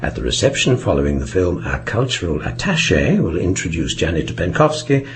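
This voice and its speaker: An actor playing a commanding, dryly persuasive British intelligence chief in a radio drama, with a British accent and a clipped, authoritative, disdainful manner. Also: male voice, low voice, sing-song, brisk. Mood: firm, official.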